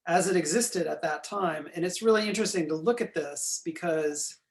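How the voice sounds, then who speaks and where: A man's voice: a little high, moderate pace. Man lecturing while losing his voice. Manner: frequent throat clearing, pointing at a map. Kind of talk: recorded university lecture.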